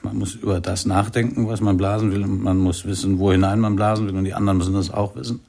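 Gravelly, musing jazz cornettist. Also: male voice, fairly low pitch, little pitch movement, fast talker, a little mellow. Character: weary jazz cornettist musing about playing. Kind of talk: radio play monologue.

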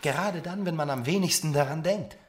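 An actor playing a worldly, ardent seducer who scorns convention, speaking theatrically and insinuatingly with dramatic pauses in a radio play. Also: male voice, fairly high pitch, close to an everyday voice. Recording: music and crowd behind the voice. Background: music and crowd.

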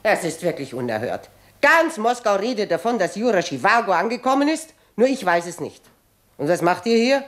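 Fiery old revolutionary woman, swooping pitch. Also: sing-song, moderate pace, a bit bright. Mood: exuberant, indignant. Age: older. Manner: declamatory, scolding, exclaiming. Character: fiery old woman revolutionary zealot, self-styled warhorse. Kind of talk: German radio drama acting.

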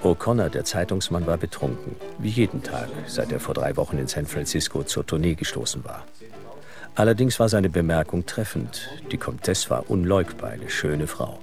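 A man's voice: extremely low pitch, lively intonation, moderate pace, vocal fry. A man reading scripted narration for an audio drama. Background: music.

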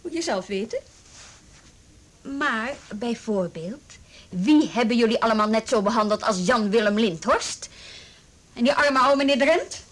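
A woman's voice: a little high, animated, measured pace, pauses often, fairly bright tone. An actress in a radio drama playing a stern, sarcastic policewoman, brusque and commanding as she questions a suspect. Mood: hard, pressing. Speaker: police interrogator. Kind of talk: Dutch radio drama acting.

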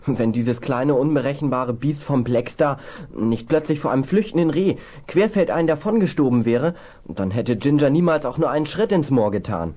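A man's voice: medium pitch, expressive, moderate pace, warm tone, lightly acted. A young man playing an eager, plucky, horse-loving boy in a children's audio drama, earnest and colloquial. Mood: indignant, explaining.

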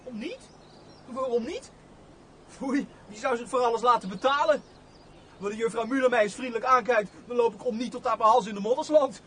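A man's voice: very high voice, brisk, fairly bright tone, slight background noise. An actor playing a naive, chatty young man, a steward's simple son, in a radio play. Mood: flustered, naive.

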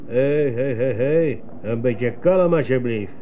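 A man's voice: mid-range voice, takes it slowly, slight background noise, lightly acted. An actor playing a curt, impatient man asking questions in a radio drama. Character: brisk, impatient man dealing with a stutterer. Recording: narrow, muffled radio drama sound.

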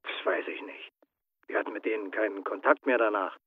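An actor playing a guarded, defensive former escape helper in a radio drama, curt and reluctant, heard through a telephone filter. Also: male voice, fairly low pitch, fairly quick, no echo. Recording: thin, tinny phone line.

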